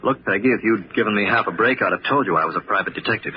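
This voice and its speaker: Firm, slangy private detective male actor, low-pitched, reassuring, vintage radio drama with muffled, noisy sound. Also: rapid-fire, close to an everyday voice. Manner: firm, plain-spoken, slangy. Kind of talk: old-time radio drama acting. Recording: dull, noisy old radio recording.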